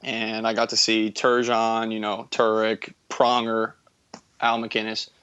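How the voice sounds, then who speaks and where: A man's voice: fairly low pitch, monotone, a little slow, bright, forceful tone, clean tone, dry recording. Young man, dull dry podcast audio. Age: early 20s. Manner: rambling, self-correcting, enthusiastic fan talk. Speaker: podcast co-host. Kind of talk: casual sports chat.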